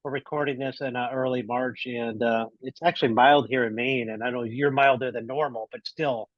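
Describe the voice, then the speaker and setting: A man's voice: mid-range voice, steady tone, moderate pace, dry recording, highs cut off. A man hosting a podcast interview, upbeat and rambling, often restarting his sentences. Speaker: podcast host.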